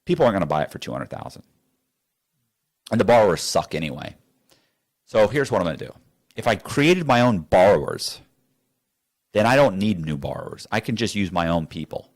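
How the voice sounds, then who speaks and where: Low-voiced man telling a story. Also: very animated, moderate pace, long pauses. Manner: rambling, restarts sentences, quotes both sides of conversations. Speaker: interview guest. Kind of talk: animated storytelling with acted-out dialogue. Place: studio.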